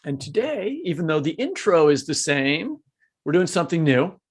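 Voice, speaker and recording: A man co-hosting a podcast in a conversational, joking style that turns halting and rambling off script. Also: a little high, very animated, husky. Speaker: podcast co-host.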